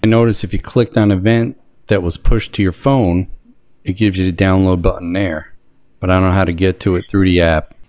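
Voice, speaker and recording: Low-voiced man, casual gadget reviewer. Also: steady tone, brisk, darkish tone, no echo. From the Americas. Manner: plainspoken, matter-of-fact, clipped phrases. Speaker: product reviewer. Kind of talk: casual hands-on app walkthrough. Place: outdoors under a canopy in a wooded yard.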